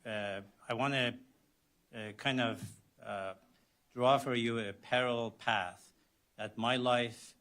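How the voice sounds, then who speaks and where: A man in his sixties from Iran with an Iranian Azeri-Turkish accent, giving a policy talk as a surgeon guest speaker, halting often with fillers. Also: fairly low pitch. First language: Azerbaijani Turkish. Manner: halting, many fillers, rising loudness on key phrases.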